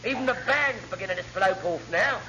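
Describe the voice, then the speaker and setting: An actor playing an excitable, baffled, colloquial working-class naval rating who blusters in a classic radio comedy. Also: male voice, high voice, a little fast. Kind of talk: classic radio sitcom acting. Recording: muffled, noisy old radio recording.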